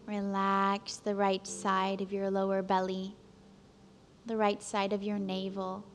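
Slow, even-toned woman guiding relaxation. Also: mid-range voice, slight background noise. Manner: calm, soothing, gentle instructions with long pauses. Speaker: relaxation instructor. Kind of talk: guided relaxation meditation. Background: soft background music.

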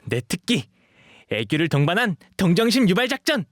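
A man's voice: medium pitch, expressive, a little slow. A man acting as an eager, cutesy, pleading twenty-year-old dog shapeshifter in an audio drama, with a boyish manner. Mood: scheming, eager. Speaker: voice actor.

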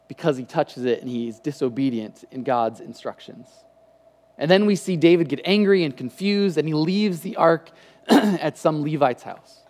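A man in his thirties preaches a casual, conversational sermon from a church stage, his voice a touch higher than most men's. He talks through a headset mic, often restarting phrases as he gestures. A long hall reverb trails his words. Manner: conversational, animated hand gestures, frequent restarts. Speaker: pastor. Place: church stage with lit patterned backdrop.

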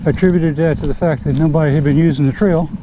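A man's voice: on the high side, fairly even intonation, fast talker, background noise. A man vlogging as he hikes a forest trail, talking easily to the camera he carries outdoors. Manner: easygoing, talking to camera while walking. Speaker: hiker vlogger. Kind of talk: casual hiking narration. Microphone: action camera carried while hiking.

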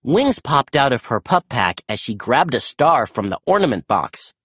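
A storybook narrator reading aloud to children in an expressive, animated way with dramatic emphasis. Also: medium pitch, normal speed, slightly rough, dry recording.

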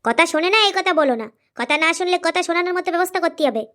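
High, rapid-fire scolding housewife played by a woman. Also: animated, a bit bright, soft background music. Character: angry, sharp-tongued daughter-in-law, a scolding housewife. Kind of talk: amateur comedy cartoon acting.

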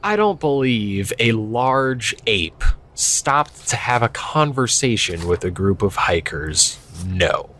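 A man's voice: lowish voice, sing-song, slow, fairly bright tone, slight background noise, heavily acted. A man acting a young, skeptical park ranger in an audio drama, speaking casually and hesitantly with a wry edge. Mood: wry, doubtful. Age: young adult. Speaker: voice actor. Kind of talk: naturalistic audio drama dialogue.

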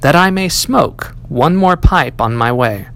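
A man's voice: fairly high pitch, lively intonation, measured pace, vocal fry, fairly bright tone, slight background noise, heavily acted. A man voicing a bitter, commanding soldier in a storybook fairy tale. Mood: requesting.

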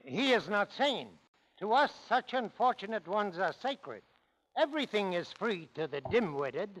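Animated Moroccan market vendor. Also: male voice, high voice, unhurried, slight background noise, exaggerated voice, rough recording. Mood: firm. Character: Moroccan market food vendor, obliging yet firm. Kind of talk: 1940s radio comedy acting.

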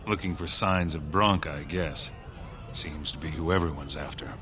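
A man voicing a gruff, weary dwarf warrior in a video game, speaking in a terse, blunt way. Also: rumbling low, moderate pace, noisy background. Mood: grim, weary. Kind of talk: video game dialogue acting.

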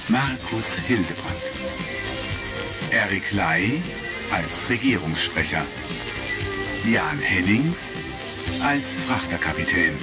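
A man delivering scripted, dramatic narration for a retro audio drama. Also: deep voice. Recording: vintage record sound, loud music behind. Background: loud music.

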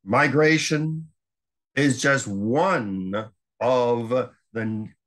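Slow, deliberate older male lecturer. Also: mid-range voice, a bit bright. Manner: unhurried, emphatic with long pauses. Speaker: library lecturer. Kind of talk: slide lecture on world affairs.

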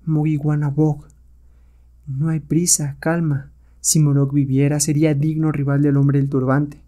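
A man voicing a cautious, fearful old ape counselor in a hushed, slow, wary way. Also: on the high side, level tone, lightly acted.

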